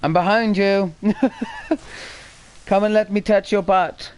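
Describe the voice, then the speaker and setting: Unhurried man doing casual gaming commentary. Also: sits high, fairly even intonation, darkish tone, clear, resonant tone. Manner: jokey, informal. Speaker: gaming video host. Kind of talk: casual let's play commentary.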